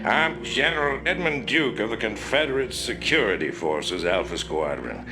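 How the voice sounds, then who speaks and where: An actor playing a condescending military general who gives orders with dismissive authority, in a game cutscene. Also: male voice, medium pitch, expressive, moderate pace, lightly acted. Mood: commanding. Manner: commanding, dismissive. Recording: game audio with music.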